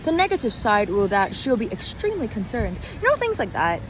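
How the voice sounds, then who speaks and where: Young woman, high animated voice, outdoor noise. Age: young adult. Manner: halting, self-interrupting, candid. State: physically tired. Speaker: vlogger and acting student. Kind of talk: casual rambling video diary. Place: outdoors on an urban walk. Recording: outdoor street noise, passing cars. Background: traffic and city ambience.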